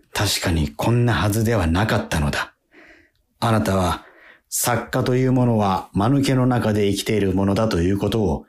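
A man giving a measured, earnest dramatic reading of a literary letter. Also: lowish voice. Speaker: radio host reading literature aloud.

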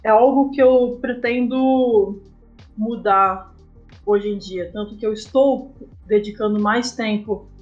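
A woman's voice: mid-range voice, fairly even intonation, takes it slowly, darkish tone, muffled recording. A Brazilian woman speaking reflectively and personally as a guest in a casual interview. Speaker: guest, comic artist. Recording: music playing behind the voice. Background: background music.

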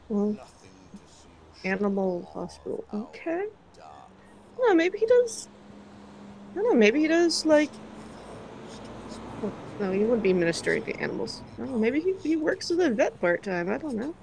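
A woman's voice: a little high, sing-song, moderate pace, pauses often, darkish tone, slight background noise, rough recording. A woman playing a flustered, skeptical podcast interviewer in an improvised audio drama, stammering and muttering. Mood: grumbling, puzzled. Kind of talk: unscripted in-character audio drama.

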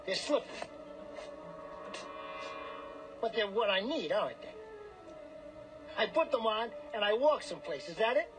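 An actor playing a desperate, greedy small-time gambler, brash and pushy, in a radio drama. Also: male voice, high-pitched, sing-song, fairly quick, over music, rough recording. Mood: demanding. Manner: brash, wheedling, slangy, bullying.

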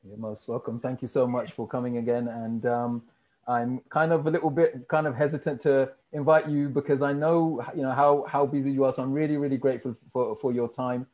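Male host for a coaching interview on a video call, mid-pitched, polite and halting, full of fillers. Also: level tone, a little mellow. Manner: hesitant, polite, many ums and uhs. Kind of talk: online interview.

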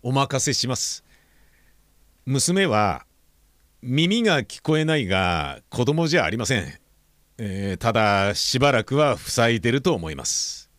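Man acting as a middle-aged father, lively pitch. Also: measured pace, no room sound, studio quality. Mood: reflective, frank. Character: apologetic, candid, protective father of a grown daughter. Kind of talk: Japanese audio drama acting.

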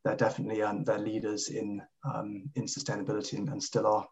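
A man's voice: on the low side, completely flat delivery, quick pace, darkish tone, rough recording. A man in his thirties who grew up in Kenya, a podcast guest talking with many fillers and restarts. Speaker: guest, sustainability head. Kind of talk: podcast interview.

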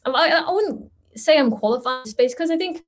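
A middle-aged woman from Singapore, a guest answering interview questions in a thoughtful, measured way, often adding "you know". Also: high-pitched, expressive. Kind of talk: conversational interview.

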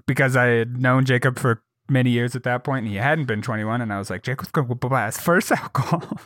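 A young man co-hosting a podcast, telling stories animatedly, with lots of fillers and swearing, and jumping up high to act out quotes. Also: brisk, a bit bright, no echo, studio quality. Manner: swears freely, many fillers. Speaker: podcast co-host. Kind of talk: casual storytelling chat.

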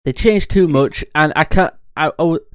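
A man chatting on a podcast, blunt and quick to swear, with frequent laughter. His voice is smooth and resonant. The sound is dry and close, with no room echo. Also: fairly high pitch, fairly bright tone. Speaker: podcast co-host. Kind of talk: casual podcast chat.

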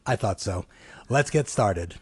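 A man's voice: on the low side, lively intonation, unhurried, noisy background. A man hosting a talk show, chatty and loose, with many fillers and restarts. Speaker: host.

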